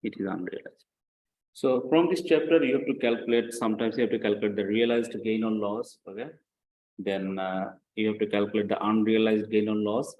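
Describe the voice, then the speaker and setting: A man with a slightly low voice gives a classroom lecture. He explains in a didactic, step-by-step way, repeating himself for emphasis. He often stops to check understanding with brief questions. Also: steady tone, brisk, narrow, tinny audio, slight background noise. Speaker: accounting lecturer.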